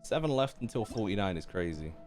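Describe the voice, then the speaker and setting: Casting: male streamer, lowish voice, laid-back and slangy reaction chat, faint game music behind. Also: animated, a little fast, a little mellow. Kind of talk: casual live reaction commentary.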